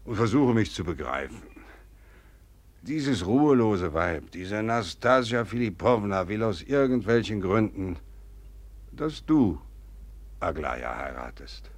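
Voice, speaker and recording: An actor playing a talkative, agitated old Russian general who blusters and rambles confidentially, in a theatrical German radio play. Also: male voice, fairly low pitch, big pitch swings, measured pace. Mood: apologetic, affectionate. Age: older. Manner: blustering, rambling, confidential, hesitating mid-sentence.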